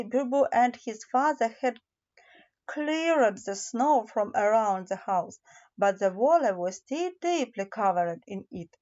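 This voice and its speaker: A woman reads a children's fairy tale in a fairly high, soft-edged voice. She takes it slowly and deliberately, stumbling slightly now and then. The recording is a little dull and homemade. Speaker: audiobook narrator.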